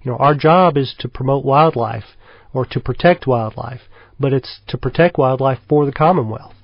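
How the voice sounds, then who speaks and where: A middle-aged man from Kentucky talks through his answers in a relaxed, thoughtful way, with plenty of fillers along the way. The recording is dry and slightly dull, like a small radio studio. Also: mid-range voice, normal speed, no room sound. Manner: easygoing, thoughtful, lots of fillers. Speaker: wildlife biologist guest. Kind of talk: radio interview.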